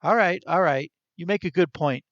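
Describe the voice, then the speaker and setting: A man's voice: on the high side, moderate pace, fairly bright tone, no echo, lo-fi sound, voice pushed up. A man voicing a gloomy, self-pitying grown man who speaks hesitantly and sulkily.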